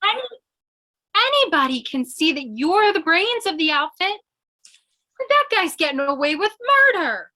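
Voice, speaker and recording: A woman playing a quick-witted, scheming captive in a radio play, theatrical and persuasive. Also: very high voice, big pitch swings, bright, forceful tone, exaggerated voice. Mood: coaxing, scolding. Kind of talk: 1940s radio comedy-thriller acting.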